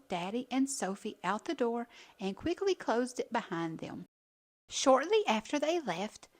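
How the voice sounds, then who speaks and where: A woman narrates a children's picture book. Her voice is fairly high, and she takes her time over each phrase with wide, lively swings in pitch. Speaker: children's storyteller. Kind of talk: animated read-aloud of a children's picture book.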